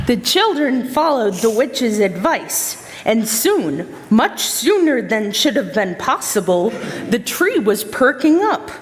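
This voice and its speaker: A woman's voice: fairly high pitch, big pitch swings, takes it slowly. A woman narrating a scripted story for a readers theater stage play. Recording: reverberant hall.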